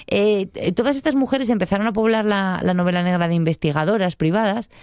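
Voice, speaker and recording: Chatty Spanish woman with a clear voice. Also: medium pitch, a little fast, a little mellow, no room sound, clean studio sound. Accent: Spain. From Spain. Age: middle-aged. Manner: chatty, informal, digressive. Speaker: book segment contributor on a show. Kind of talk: conversational book review.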